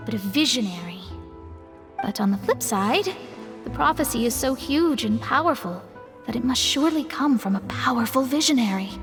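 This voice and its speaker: A woman's voice: a little high, in a large hall, natural voice. A woman voicing a proud, studious young astrologer in a video game, explaining things in a precise, self-important manner. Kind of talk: animated game dialogue acting.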